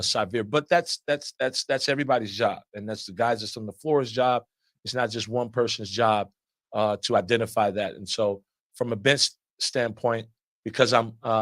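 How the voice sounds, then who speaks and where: A man, an assistant basketball coach, answering press questions in a loud voice, repeating words as he builds his points. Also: lowish voice, no room sound, studio quality. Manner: repeats words often while building answers, steady and earnest.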